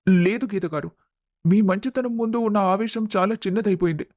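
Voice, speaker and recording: Man playing an earnest, higher-voiced young boss. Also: moderate pace, a little mellow, no echo, studio quality, close to an everyday voice. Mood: remorseful. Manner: earnest, respectful. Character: Rahul, earnest, apologetic young male company boss. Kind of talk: dramatized family story voice acting.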